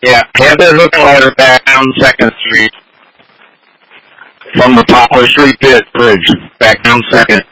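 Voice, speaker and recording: A man's voice: mid-range voice, a little slow, sharp tone. A man working as a firefighter, giving terse operational messages over a two-way radio. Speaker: firefighter on fire department radio. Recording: noisy fire radio scanner feed.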